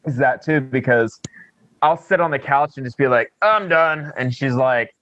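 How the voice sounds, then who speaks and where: A man's voice: mid-range voice, moderate pace, fairly bright tone, dry recording, lo-fi sound. A man chatting casually as a podcast co-host, swearing freely and poking fun at himself by acting out imagined quotes. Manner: sweary, self-deprecating, acts out imagined quotes.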